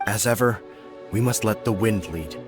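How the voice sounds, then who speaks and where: Earnest game guard played by a man: low voice, formal, measured, music behind. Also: natural voice. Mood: solemn, respectful. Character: solemn, dutiful male city guard. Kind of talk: video game voice acting.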